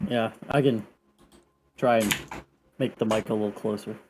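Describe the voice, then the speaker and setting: A man's voice: on the low side, steady tone, moderate pace, background noise. A man chatting casually as a player in an online tabletop game. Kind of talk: casual chat. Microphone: online voice chat.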